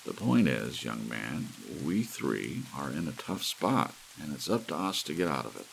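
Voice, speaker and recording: A man voicing a brisk, self-assured scientist in his sixties from a storybook, emphatic and lecturing. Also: very deep voice, big pitch swings, creaky, slight background noise, exaggerated voice, poor audio. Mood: probing. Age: 60s. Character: brisk, brainy elderly male scientist, intent and self-assured.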